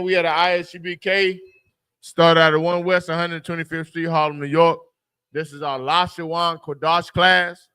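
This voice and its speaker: Guy teaching a class, slangy and laid back, kinda high voice, dry close sound. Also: sharp tone, smooth, dry recording. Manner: loose and slangy, jokes about himself. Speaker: class instructor. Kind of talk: casual spoken lesson.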